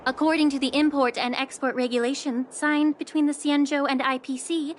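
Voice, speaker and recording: A woman playing a poised, slyly sarcastic head healer in a video game, speaking politely with cool, unhurried teasing. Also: sits high, steady tone, a little hiss or hum, lightly acted. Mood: coolly official.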